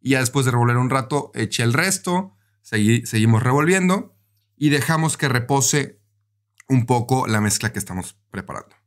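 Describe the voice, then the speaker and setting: A man's voice: mid-range voice, expressive, moderate pace. Mexican man narrating a recipe casually. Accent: Mexican Spanish. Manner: informal, conversational, addressing the viewer directly. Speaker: host of a cooking channel.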